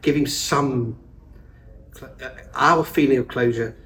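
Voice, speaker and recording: A male stage actor speaking in an ordinary middle register. He talks quickly and eagerly. He sounds distant from the microphone, as if captured in a small theatre. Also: medium pitch, fairly bright tone. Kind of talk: interview chat. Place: theatre above a pub. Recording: distant, off-mic.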